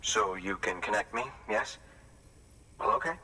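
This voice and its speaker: Game junk dealer, male actor: bitter, cantankerous, sardonic, mid-pitched, heard from a distance with noticeable ambient noise. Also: steady tone, unhurried, close to an everyday voice. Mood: grudgingly pleased. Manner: curt, sardonic, profane. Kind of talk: video game cutscene acting.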